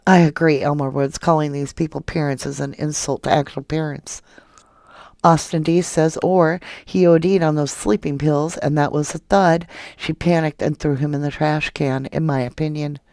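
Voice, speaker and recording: A woman's voice: deep voice, fairly even intonation, moderate pace, a little mellow, no echo, clean studio sound. A woman hosting a true crime channel, reading viewer comments aloud and firing off indignant rhetorical questions in a casual live commentary.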